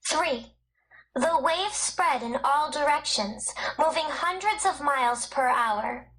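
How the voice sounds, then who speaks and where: High-voiced woman reading educational narration. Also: takes it slowly, a bit bright, low-quality recording. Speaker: textbook narrator.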